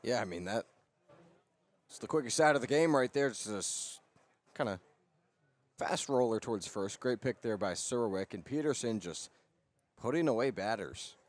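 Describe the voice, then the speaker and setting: A man's voice: medium pitch, expressive, normal speed, long pauses. A man giving casual live analysis as a color commentator on a baseball radio broadcast.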